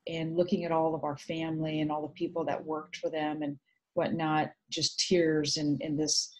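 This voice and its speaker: A middle-aged woman from Vietnam, Vietnamese her first language, telling her story thoughtfully in a video call interview with many pauses. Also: deep voice, little pitch movement, rough recording. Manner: reflective, hesitant with many fillers. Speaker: guest. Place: home room indoors. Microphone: video call webcam.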